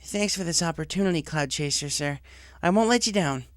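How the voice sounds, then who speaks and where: Man as young female pegasus, storybook character, cocky, eager, informal, lifted pitch, dry sound. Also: brisk, fairly bright tone, no room sound, lightly acted. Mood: grateful. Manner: informal, brashly confident.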